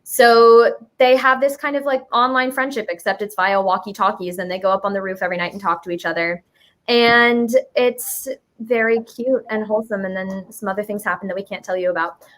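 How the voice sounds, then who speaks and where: A woman's voice: mid-range voice, brisk, a bit bright. Chatty young woman, gushing and informal. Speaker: musical writer, interview guest. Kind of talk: casual enthusiastic chat.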